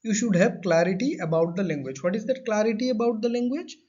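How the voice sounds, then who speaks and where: A man with a high voice delivers a lecture and restates each point to make it land. He builds his explanations around everyday examples. The recording sounds dull and lo-fi. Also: fast, darkish tone, slightly rough. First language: not Hindi. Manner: repeats and rephrases points. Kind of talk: classroom lecture. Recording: dull lo-fi audio.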